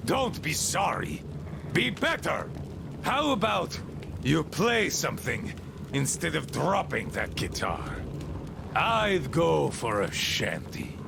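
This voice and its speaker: Gravelly, bitter old boat commander. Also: male voice, fairly high pitch, huge pitch swings, takes it slowly, background noise, heavily acted. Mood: scolding. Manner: theatrical, grumbling, rambling. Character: bitter, commanding, eccentric old boatman called Admiral. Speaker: game character, leader of a small river crew. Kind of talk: dramatic video game monologue.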